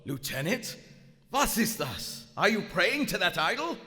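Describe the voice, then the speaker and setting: A proud, cold German U-boat captain, played by a man in a live radio play. He is stern and formal, with a measured delivery that still swings widely in pitch. The sound rings in a big theater hall. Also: big pitch swings, sharp tone, faint music behind, exaggerated voice. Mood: stern, skeptical. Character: proud, cold German WWI submarine captain, narrating his log. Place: on stage in a large theater. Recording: echoey theater hall, live stage recording.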